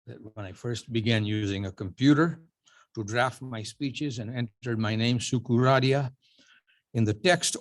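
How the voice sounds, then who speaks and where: Man in his 70s from uganda giving an award speech, measured storytelling, dry self-deprecating jokes. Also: mid-range voice. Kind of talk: prepared acceptance speech.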